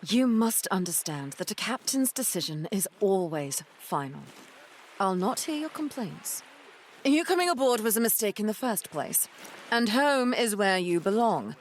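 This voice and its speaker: Woman playing a stern, expressive pirate captain. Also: medium pitch, measured pace, slight background noise. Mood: stern, formal. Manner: formal, authoritative, firm. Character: stern, commanding female pirate ship captain.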